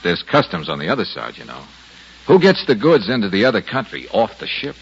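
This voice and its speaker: An actor playing a confident, wry private detective in a 1950s radio drama, with a brisk, wisecracking, self-assured delivery. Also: male voice, on the low side, wildly swooping pitch, husky, exaggerated voice. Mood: wry, explaining. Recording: dull, noisy old radio recording.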